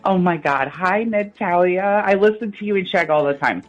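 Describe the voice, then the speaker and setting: A woman's voice: fairly low pitch, moderate pace, muffled recording. A woman calling in to a radio show, talking casually and earnestly in an informal conversation.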